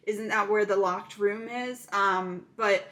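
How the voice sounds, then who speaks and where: A woman's voice: medium pitch, steady tone, slow pace, a bit bright. A woman casually recapping a thriller movie plot, chatty and full of "um" fillers.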